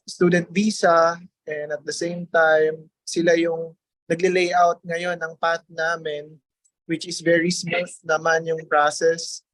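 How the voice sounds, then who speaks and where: A man's voice: on the high side, fairly bright tone, no echo, rough recording. A man from the Philippines with a Filipino accent and Tagalog as his native language, speaking politely as a guest in a testimonial interview.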